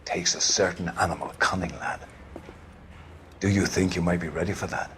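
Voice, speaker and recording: An actor playing a stern, grandiloquent older police captain in a video game, preaching with paternal menace. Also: male voice, low voice, steady tone, fairly bright tone, a little hiss or hum. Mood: grand, probing. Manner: grandiloquent, preachy, deliberate phrasing. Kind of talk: video game cutscene acting.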